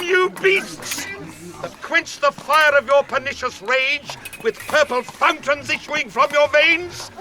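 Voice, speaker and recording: Imperious, high, piercing prince. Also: male voice, bright, forceful tone, slight background noise, exaggerated voice. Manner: imperious, declamatory. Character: enraged, commanding prince rebuking his brawling subjects. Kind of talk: Shakespearean audio drama acting, declamatory.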